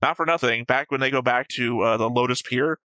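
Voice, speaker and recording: A man's voice: medium pitch, fairly quick, sharp tone, clear, resonant tone, no room sound. A man co-hosting a reaction show, chatting casually in a blunt, argumentative, sweary way. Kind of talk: casual discussion of an anime episode.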